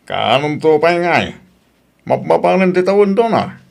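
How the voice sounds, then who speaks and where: A man's voice: a little high, big pitch swings, unhurried, vocal fry, heavily acted. A man with an Ilocano accent playing an inquisitive, easygoing older landlord in an audio drama. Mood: curious, probing.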